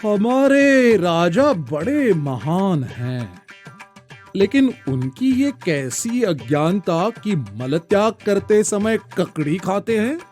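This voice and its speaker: A man voicing a scheming, fawning palace servant in an animated storybook tale, with drawn-out, sleepy, pleading speech. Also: high voice, faint music behind, pitched above the performer's own voice, exaggerated voice. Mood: sleepy mockery.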